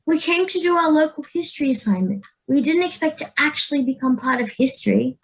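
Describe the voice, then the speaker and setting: A woman's voice: high-pitched, expressive, fairly quick, creaky, low-quality recording. An Australian girl of ten or eleven playing a decisive, bossy schoolgirl adventurer in an amateur radio play. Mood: relieved. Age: child, 10 to 11. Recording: home video-call recording.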